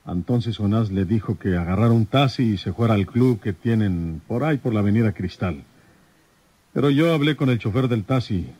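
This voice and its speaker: An actor playing a plain-spoken, folksy rural detective in an old radio serial. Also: male voice, on the low side, darkish tone, slightly rough, dry recording. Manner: folksy, halting.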